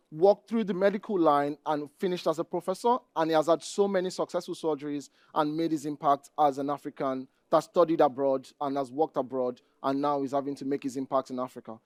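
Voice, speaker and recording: A man with a Nigerian accent giving a conference presentation, speaking deliberately and addressing the audience directly. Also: on the high side, a little mellow, no room sound, clean studio sound. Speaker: conference speaker, database administrator.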